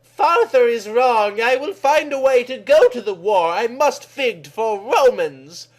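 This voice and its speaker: A brave, defiant Roman girl of the storybook kind, acted out by a man. The voice sits very high and declares everything in slow, earnest exclamations. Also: animated, heavily acted.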